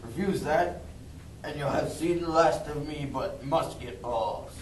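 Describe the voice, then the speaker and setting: A man acts out a scheming pirate for a puppet show. He bargains in a folksy, threatening way, a little high in pitch, heard from off in an echoey room. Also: a little slow, natural voice. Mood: bargaining, threatening. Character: scheming male pirate, smooth negotiator making threats. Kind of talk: amateur puppet show acting. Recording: echoey room, distant mic, faint noise.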